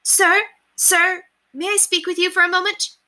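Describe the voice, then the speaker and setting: A woman's voice: extremely high pitch, little pitch movement, a little slow, bright, forceful tone, pitched above the performer's own voice, exaggerated voice. Woman playing a prim, earnest young governess. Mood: urgent, polite. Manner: formal, proper, earnest phrasing.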